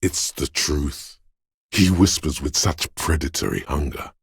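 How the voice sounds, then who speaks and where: An actor voicing a video game character, a paranoid, aggressive inner voice of fight-or-flight instinct, speaking in an ominous, raspy, urging way. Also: male voice, sing-song, dry recording, studio quality, exaggerated voice. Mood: menacing, brooding. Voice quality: very deep, gravelly, raspy. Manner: slow, deliberate, ominous urging.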